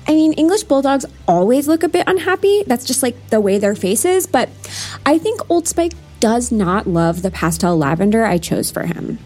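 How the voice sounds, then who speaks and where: Animated, drawling teenage girl. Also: a little high, normal speed, soft background music. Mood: casual, sheepish. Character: chatty, airy teenage girl at confession.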